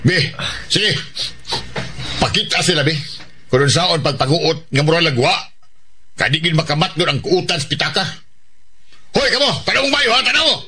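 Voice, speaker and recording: High-voiced, cocky Filipino gang boss played by a man. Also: expressive, moderate pace, long pauses, a crowd in the background, lightly acted. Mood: bossy, instructing. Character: bossy, cocky male street pickpocket gang leader. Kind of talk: Filipino radio drama acting.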